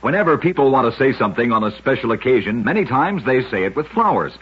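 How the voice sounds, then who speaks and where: A man's voice: mid-range voice, sing-song, quick pace, husky, muffled recording. A man narrating short scripted oddity stories as a radio host, in a dramatic, emphatic 1940s storytelling style, on an old radio recording.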